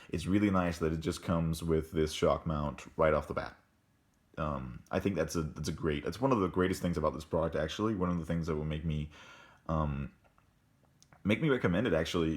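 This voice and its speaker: A man with a very deep voice giving a casual unboxing review, talking in a halting way with many ums and restarts, in an indoor room. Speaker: tech reviewer.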